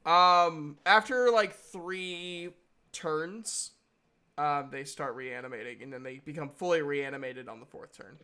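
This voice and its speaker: A man's voice: a little high, a little slow, sharp tone, smooth. A man running a tabletop game as game master, narrating and chatting casually.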